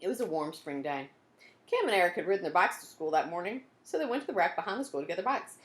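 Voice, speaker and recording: A woman reading a children's book aloud in an expressive storytelling style. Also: on the low side, fast, roomy sound. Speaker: storybook reader.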